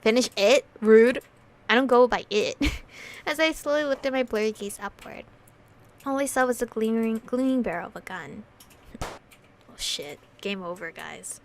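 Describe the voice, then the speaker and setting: A woman's voice: fairly high pitch, animated, normal speed, pauses often. A woman doing a casual visual novel playthrough, reading story text aloud and reacting with slangy, sweary commentary. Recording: music playing behind the voice. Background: background music.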